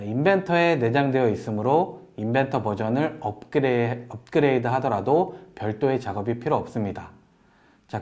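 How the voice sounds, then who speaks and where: Man giving a step-by-step software tutorial. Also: medium pitch. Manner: polite, explanatory, step-by-step delivery. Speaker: webinar presenter, software instructor. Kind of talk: software tutorial narration over screen demo.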